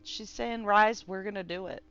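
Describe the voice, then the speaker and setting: A woman streamer giving casual, giggly, excitable and profane live commentary on a game. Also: medium pitch, moderate pace, clear, resonant tone, lo-fi sound, soft background music.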